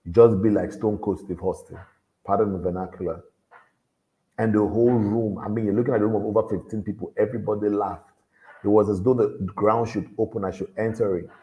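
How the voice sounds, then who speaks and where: A man with a deep voice speaks in a low register, giving a motivational talk to young people. His tone is mellow and warm. He exhorts his listeners earnestly and circles back to repeat his key points. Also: steady tone, fairly quick, rough recording. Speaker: motivational speaker at a youth event.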